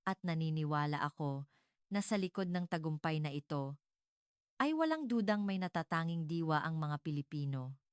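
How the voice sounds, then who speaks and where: Lady narrating a dramatic story, low smooth voice, earnest and measured, reading from a script. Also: animated, dry recording, studio quality.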